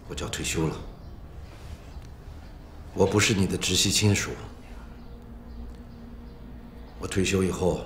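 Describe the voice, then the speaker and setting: A man playing a middle-aged veteran prosecutor in a film drama, speaking in a measured, formal, grave way. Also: sits low, slight background noise. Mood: solemn. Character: veteran male prosecutor near retirement, solemn and steady. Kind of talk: restrained screen drama acting. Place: small prison interview room. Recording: echoey room with music behind.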